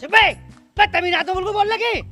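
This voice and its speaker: A high voice from a male actor plays a village schoolmaster in a comedy sketch. He is bossy and exasperated, scolding like an announcer to unruly people, over faint music. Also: quick pace, bright, forceful tone. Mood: exasperated, announcing. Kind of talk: broad comedy sketch acting. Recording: background music under dialogue.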